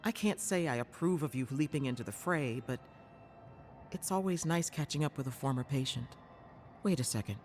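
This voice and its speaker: An actress playing a blunt, scolding but caring healer in a fantasy game cutscene. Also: female voice, rumbling low, lively intonation, normal speed, darkish tone, faint music behind. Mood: blunt, concerned. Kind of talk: dramatic video game cutscene acting.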